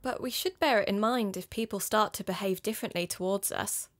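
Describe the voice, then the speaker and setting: A woman's voice: medium pitch, a little fast, lightly acted. A woman playing a thoughtful, cautious podcaster turned amateur sleuth in a conversational audio drama. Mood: puzzled, pondering.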